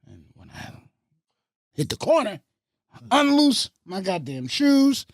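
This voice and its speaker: Bronx-and-fayetteville guy telling stories on a podcast, pitch all over the place, dead dry sound. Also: takes it slowly, dry recording. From Bronx, New York and Fayetteville, North Carolina. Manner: animated storyteller with a lot of swearing. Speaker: podcast guest, radio host and producer. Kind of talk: casual podcast storytelling.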